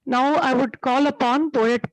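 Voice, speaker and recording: A woman's voice: high voice, fairly even intonation, measured pace, no room sound, rough recording. A woman with an Indian accent politely and formally hosting an online poetry gathering over a video call. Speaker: host.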